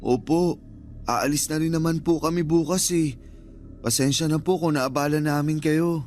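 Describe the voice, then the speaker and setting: A man's voice: a little high, fairly even intonation, moderate pace, darkish tone, slight background noise, lightly acted. A Filipino man acting in a radio drama as a nervous guest who is easily spooked, with an uneasy, questioning manner. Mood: apologetic.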